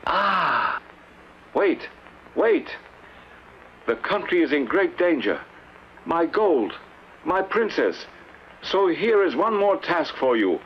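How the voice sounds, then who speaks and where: A man's voice: on the high side, very animated, takes it slowly, pauses often, lo-fi sound, slight background noise. An actor playing a blustering, greedy, evasive old king in a theatrical fairy-tale play, flustered and pompous. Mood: alarmed, greedy.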